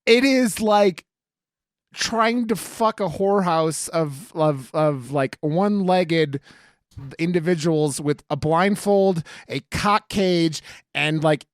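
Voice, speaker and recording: A man's voice: a little high, slow pace, dry recording, clean studio sound. A man chatting casually as a podcast co-host, with a crude, joking, rambling manner. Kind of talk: casual comedic podcast chat.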